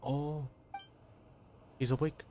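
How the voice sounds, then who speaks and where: A man's voice: a little high, level tone, takes it slowly, mellow, a little hiss or hum. A young man who streams games, reacting casually in slangy, sweary talk with stammers. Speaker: game streamer. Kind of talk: casual joking live reaction.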